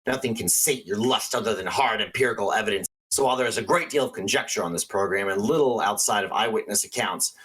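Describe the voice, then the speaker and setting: An actor playing a quirky, excitable small-town paranormal radio host in a radio play, theatrical and earnest. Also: male voice, on the low side, fast, few pauses, slightly rough. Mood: exasperated, emphatic. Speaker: radio call-in show host.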